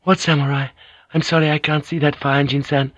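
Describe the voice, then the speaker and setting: Man voicing an earnest, lifted-pitch Japanese noblewoman. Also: flat delivery, brisk, a bit bright, no room sound. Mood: puzzled. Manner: formal, careful phrasing. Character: young Japanese noblewoman interpreter, earnest, polite, composed.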